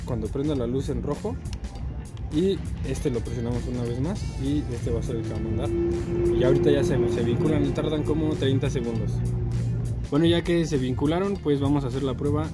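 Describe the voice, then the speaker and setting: A man's voice: medium pitch, a little fast. A man with a Mexican accent giving a casual, slangy hands-on tutorial as a motorcycle vlogger. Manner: informal, slangy. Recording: loud music behind the voice.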